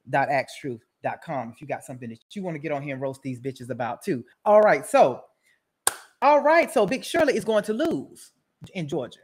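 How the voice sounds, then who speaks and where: A woman giving casual, profane roast commentary as a show host, brash and mocking, rambling and repeating her phrases. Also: fairly low pitch.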